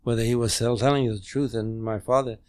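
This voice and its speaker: Guest, man in his 90s, German native, measured halting recall, mid-pitched and dry. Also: fairly even intonation, a little mellow, clean tone, no room sound. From Poland. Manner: halting, measured, with frequent restarts. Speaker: interview guest. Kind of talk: recounting memories in conversation. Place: home dining room.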